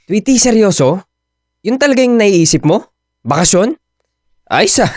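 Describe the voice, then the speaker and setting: An actor playing a scornful, fed-up boyfriend in a radio drama, curt and exasperated. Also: male voice, sits high, big pitch swings, a little slow, darkish tone, no room sound, studio quality, full character voice. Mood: irritated.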